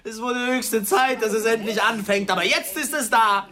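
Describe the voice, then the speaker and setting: An actor playing a fervent, ominous son proclaiming doom in a radio drama. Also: male voice, very high voice, normal speed. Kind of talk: German radio drama acting. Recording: party crowd around the voice.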